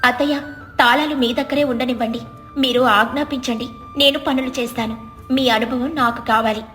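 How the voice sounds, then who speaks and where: A woman's voice: sits high, steady tone, moderate pace, bright, forceful tone, soft background music. A woman voicing a clever, confident young daughter-in-law in a moral story. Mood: humble.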